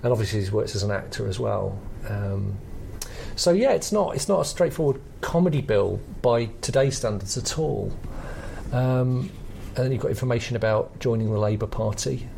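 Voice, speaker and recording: A middle-aged British man with a creaky voice at medium pitch, explaining things conversationally as a podcast co-host. He is reflective and hesitant, feeling his way through each thought. There is faint noise behind him. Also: animated, normal speed, dark tone. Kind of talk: conversational analysis of comedy history.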